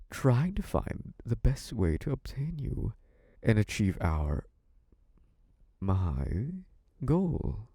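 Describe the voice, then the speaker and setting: An actor playing a possessive, scheming young mafia boss in a theatrical, condescending, menacing monologue. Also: male voice, lowish voice, vocal fry, no echo, studio quality, full character voice. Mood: cold, menacing. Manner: menacing, condescending, theatrically drawn-out delivery with dark chuckles. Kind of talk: theatrical scripted audio roleplay monologue.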